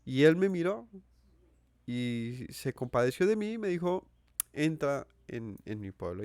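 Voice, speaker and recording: A man's voice: medium pitch, smooth, dry recording, studio quality. A Colombian man with a Colombian accent giving an earnest sermon, asking his listeners questions and repeating phrases for emphasis. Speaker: preacher. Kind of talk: sermon teaching.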